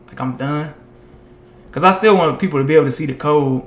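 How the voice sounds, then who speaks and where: Man, live-stream host, casual and rambling, voice getting hoarse, fairly high pitch, roomy echo. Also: brisk. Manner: loose and chatty, heavy on "like" and "man". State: voice tiring and going hoarse. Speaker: live-streaming tech content creator.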